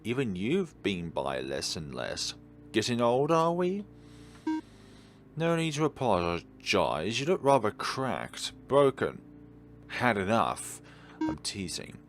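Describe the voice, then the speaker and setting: A man reading out visual novel dialogue as a weary, ancient godlike being, wistful and gently teasing. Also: lowish voice, big pitch swings, slow. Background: faint background game music.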